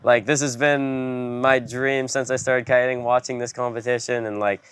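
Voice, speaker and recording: A man's voice: mid-range voice, flat delivery, moderate pace, a bit bright, clear, resonant tone, dry recording. A young man from Hawaii answering interview questions in an easygoing way, with lots of "like" and "you know". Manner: laid-back, many fillers like "you know" and "like". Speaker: guest. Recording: noisy background.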